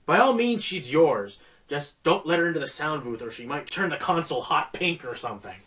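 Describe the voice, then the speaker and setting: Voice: medium pitch, expressive, brisk, fairly bright tone, natural voice. An actor playing a grumpy, sarcastic pony who manages a radio show, exasperated and sardonic, in a radio play. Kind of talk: amateur radio play acting.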